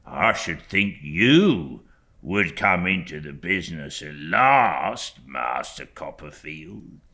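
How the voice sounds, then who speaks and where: A man voicing a fawning, falsely humble young clerk who speaks in a slow, insistent, obsequious way for an audiobook. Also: a bass voice, very animated, pushed and bright, exaggerated voice. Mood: blandly insistent. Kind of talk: audiobook character voice.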